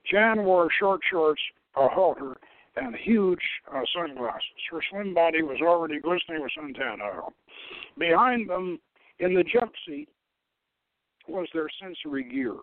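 A man's voice: a little high, lively intonation, unhurried, dry recording, phone-quality sound. A man giving a dramatic, theatrical reading of a story, stumbling over the odd word. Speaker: show host reading own novel.

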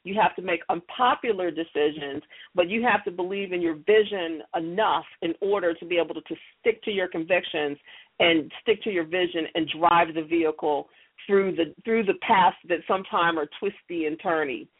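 A woman's voice: fairly low pitch, moderate pace, husky. A middle-aged woman giving interview answers in an emphatic way, repeating key phrases for stress. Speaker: interview guest, audio theater creator and company leader. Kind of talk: interview answers, explaining her success strategies. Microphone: telephone or call connection. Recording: muffled, band-limited phone line.

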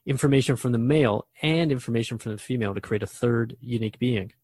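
A man's voice: medium pitch, fast, darkish tone, slightly rough, no room sound. A man hosting a radio drama dialogue, asking questions and musing aloud in a hesitant, conversational way. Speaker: radio host in a scripted dialogue.

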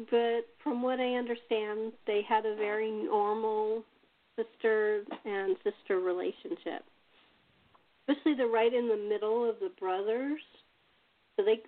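A woman's voice: a little high, steady tone, moderate pace. A woman chatting casually as host and playwright, telling anecdotes and given to chuckling. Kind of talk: casual panel chat. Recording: narrow tinny phone-like call audio.

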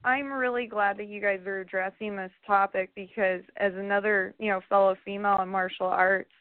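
Hoarse woman caller on a phone line. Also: medium pitch, fairly even intonation, fairly quick, dry recording. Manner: earnest, passionate. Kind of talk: call-in radio chat.

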